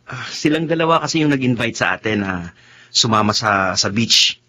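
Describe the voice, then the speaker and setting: An actor playing a brooding young boyfriend in a Tagalog radio drama, earnest and a little hesitant. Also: male voice, mid-range voice, animated, moderate pace, sharp tone. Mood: agreeable.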